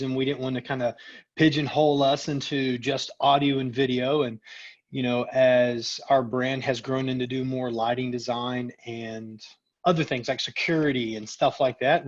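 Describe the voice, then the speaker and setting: Male executive guest, middle-aged, conversational and informal, mid-range voice, slightly lo-fi audio. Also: medium pitch. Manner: conversational, peppers talk with "you know" and "right?". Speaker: company executive, interview guest. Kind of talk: casual interview conversation.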